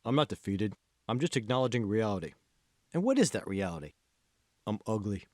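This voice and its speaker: A man's voice: fairly low pitch, sing-song, fairly quick, a little mellow, no room sound, clean studio sound, voice pushed down. A man voicing a discouraged, self-pitying college computer whiz in an audiobook, moaning and reluctant. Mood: glum, defeated. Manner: moaning, glum, reluctant short answers. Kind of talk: audiobook character dialogue.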